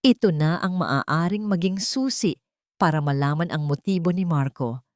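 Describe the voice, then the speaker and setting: A woman's voice: lowish voice, moderate pace, no room sound, studio quality. A woman narrating a scripted love story in a suspenseful, theatrical way.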